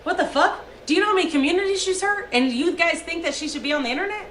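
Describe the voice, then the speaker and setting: A high-pitched, sharp-toned woman rants into her stream, swearing and hammering every point. Her voice rings in an echoey room, with a faint hiss under it. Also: quick pace. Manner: profane, emphatic ranting. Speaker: livestreamer. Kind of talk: heated livestream rant.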